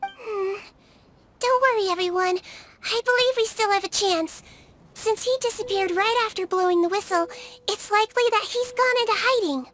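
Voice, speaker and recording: An actress voicing a small, gentle childlike creature who works as a nurse, in an earnest, sweet and curious video game performance. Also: female voice, very high voice, normal speed. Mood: reassuring. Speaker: game character.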